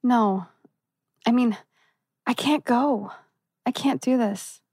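An emotional young girlfriend with a high-pitched voice and a feisty edge. It is a TV drama read, recorded dry and close. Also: slow pace, a little mellow, breathy edge, no echo. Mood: heartbroken, pleading. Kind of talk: TV drama script table read.

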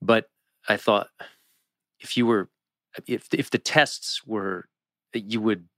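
A woman reads a terse, brooding teenage boy in a drama. The voice is extremely low with heavy fry, recorded dry. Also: slow, no echo, full character voice. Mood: subdued, hurt. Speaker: reader at a table read. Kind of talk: table read of a TV teen drama script.

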